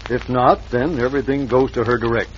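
An actor playing a scheming, bossy crooked western lawyer in old-time radio style, with a folksy, commanding drawl. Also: male voice, medium pitch, steady tone, brisk, natural voice. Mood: impatient, insistent. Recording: dull, noisy vintage radio recording.

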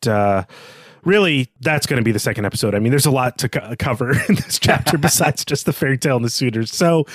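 Low-pitched man discussing literature, dry close sound. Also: dry recording. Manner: analytical, explanatory, with rephrasing asides. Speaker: podcast co-host.

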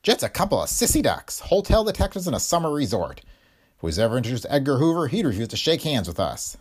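A man's voice: medium pitch, big pitch swings, fast talker, slightly rough, pitched above the performer's own voice. A man voicing a crafty, cackling old male detective who talks in a folksy, ungrammatical way. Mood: grumbling.

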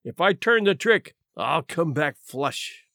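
Man performing a huge grumbling ex-police captain, storybook character, coarse street talk, blustering, high swooping delivery, very dry sound. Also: very animated, slow, gravelly, fairly bright tone, no echo, exaggerated voice. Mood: huffy, determined. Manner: growling, groaning, grumbling, coarse streetwise speech.

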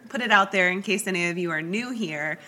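Young female podcast host, stuffy and chatty, lowish bright voice. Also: brisk. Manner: chatty, lots of likes and ums. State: congested with a cold. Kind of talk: true crime podcast storytelling.

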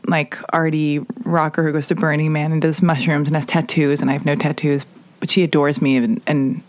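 A woman's voice: deep voice, level tone, brisk, dry recording. A woman hosting a casual podcast interview, talking in a rambling, confiding and teasing way. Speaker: podcast host interviewing a guest. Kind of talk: casual, candid chat.

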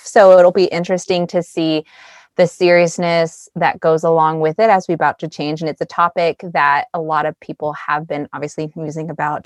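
A woman's voice: low-pitched, no echo, clean studio sound. A woman hosting a conversational news podcast, commenting and asking questions as an editor.

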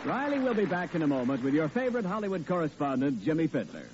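Lively vintage radio announcer man. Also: fairly high pitch, fast. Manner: smooth, persuasive announcer delivery. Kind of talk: scripted radio commercial. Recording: dull lo-fi vintage radio broadcast with faint hiss.

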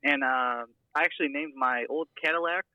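A man's voice: fairly high pitch, a little fast, pushed and bright, no echo, rough recording. A man leaving a casual, hesitant, rambling voicemail as a listener calling in, recorded over a phone.

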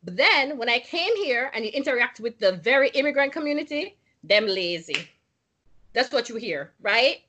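A woman's voice: fairly high pitch, normal speed, slight background noise. A woman from Jamaica, casually discussing immigrant life with friends and asking the group questions. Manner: animated, acts out quotes, asks questions.

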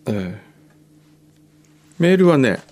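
A man's voice: mid-range voice, very animated, takes it slowly, a little mellow. A man hosting a casual radio chat, playfully drawing out his reactions as he opens listener gifts and reads messages. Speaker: radio show host.

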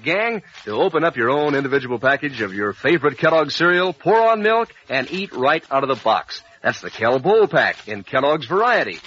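Animated American radio pitchman, muffled. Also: slight background noise. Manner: folksy, enthusiastic sales pitch. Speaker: radio announcer. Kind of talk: 1940s radio cereal advertising. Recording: muffled old radio recording.

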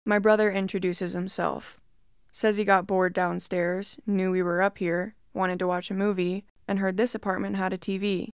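Calm woman narrating a creepy story. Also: mid-range voice, moderate pace, clean tone, dry recording, studio quality. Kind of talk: reading a first-person creepy story.

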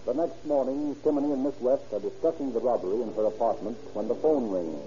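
Muffled old radio crime show narrator guy, animated delivery, music behind him. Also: mid-range voice, fast.

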